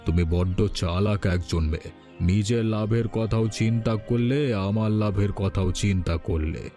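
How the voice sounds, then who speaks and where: A shrewd, self-important village patron voiced by a man in a cartoon story. His voice is deep-set and dark, with a condescending, deliberate manner. Music plays faintly beneath it. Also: natural voice. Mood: calculating, pleased. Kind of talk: cartoon moral-tale voice acting.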